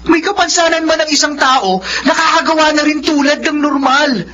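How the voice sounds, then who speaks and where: Guy acting a lovestruck young filipino son, really high voice, earnest and dramatic, muffled audio. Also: normal speed, background noise. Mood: indignant, impassioned. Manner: earnest, impassioned. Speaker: drama voice actor. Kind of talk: melodramatic radio drama acting. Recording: dull lo-fi audio with music.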